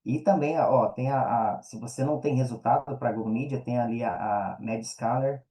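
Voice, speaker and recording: Mellow Brazilian man as podcast guest. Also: medium pitch, moderate pace, low-quality recording. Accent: Brazilian Portuguese. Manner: slangy, explanatory, peppered with check-in tags. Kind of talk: casual interview chat.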